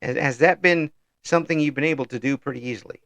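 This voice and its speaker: An older man hosting an interview in an easygoing chat, often pausing with ums and restarting his sentences. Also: mid-range voice, gravelly, a bit bright, no room sound. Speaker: interview host.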